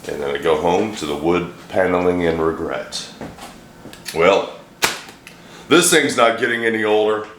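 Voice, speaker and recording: Brief: middle-aged man, very low and a bit hoarse, rambling dry humor, echoey home kitchen with faint hiss. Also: measured pace, pauses often. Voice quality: deep, a little hoarse from a throat bug. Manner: joking, rambling, dry self-deprecating humor. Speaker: vlogger, home cook. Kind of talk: casual cooking vlog chat.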